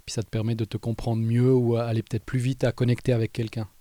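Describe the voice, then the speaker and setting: Dark-voiced man with a light Valais accent. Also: mid-range voice, little pitch movement, fast talker. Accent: light Valais Swiss French. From Valais, Switzerland. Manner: thoughtful, hesitant, many fillers. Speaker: interviewee. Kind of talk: casual street interview.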